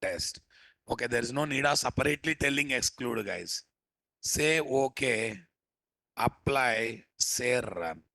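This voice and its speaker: Rough-voiced male instructor. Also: medium pitch, expressive, unhurried, pushed and bright, no room sound. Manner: scolding, teasing, keeps asking class questions. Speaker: programming instructor. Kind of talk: live coding class lecture.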